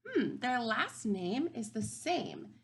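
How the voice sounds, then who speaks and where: A woman teaching kindergarten through a read-aloud, thinking aloud and posing simple questions to children in an expressive, emphatic way. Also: on the high side, slow, creaky. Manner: expressive, emphatic storybook delivery.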